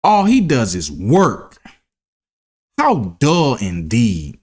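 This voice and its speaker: A man voicing a carefree, mocking grasshopper in a children's storybook, with a teasing, drawn-out delivery. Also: medium pitch, huge pitch swings, darkish tone, breathy edge, full character voice. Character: carefree, mocking young male grasshopper who loves play. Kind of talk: children's storybook read-aloud.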